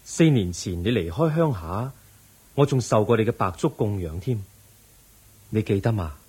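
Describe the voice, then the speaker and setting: A calm wise buddha, lowish very raspy voice, hong kong cantonese, solemn teaching, radio drama. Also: male voice. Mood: gentle, calm. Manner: measured, solemn, instructive. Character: serene, wise male Buddha, compassionate and firm.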